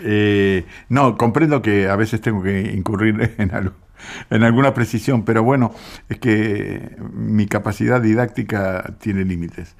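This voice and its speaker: A low-pitched man talks about music on the radio. He explains slowly and patiently, pausing now and then to find the word. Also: gravelly. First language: Italian. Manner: unhurried, explanatory, with small hesitations.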